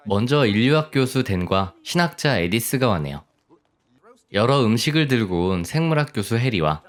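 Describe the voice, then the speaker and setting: A male audiobook narrator reads a scripted story. His pitch sits in the middle of the male range and moves in a lively way. He reports what each person says in plain narration, without putting on character voices. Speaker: audiobook narrator of film summaries. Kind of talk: scripted storytelling narration in soft polite sentences.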